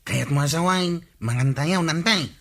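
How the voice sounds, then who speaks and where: A man's voice: a little high, moderate pace. A man playing an obedient, hungry village nephew sent on errands in a comedy radio drama, with an Ilocano accent. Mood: compliant. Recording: loud music under the voice. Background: loud music.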